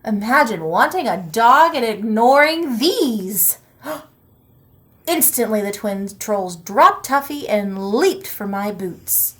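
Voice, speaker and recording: Slow-paced, higher-voiced woman storyteller. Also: expressive, a bit bright. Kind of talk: picture book read-aloud, expressive storytelling.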